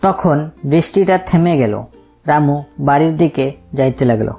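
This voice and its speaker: A woman's voice: deep voice, expressive, unhurried, darkish tone, husky. A woman reads a scripted moral story as its narrator, with music behind her. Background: background music.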